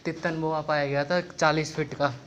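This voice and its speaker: Excitable man vlogging through ruins. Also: a little high, normal speed, lo-fi sound. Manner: excited, exclamatory, joking with friends. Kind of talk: casual handheld vlog chatter. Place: old stone ruins and dark passages outdoors. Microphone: handheld phone camera. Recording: noisy outdoor phone audio.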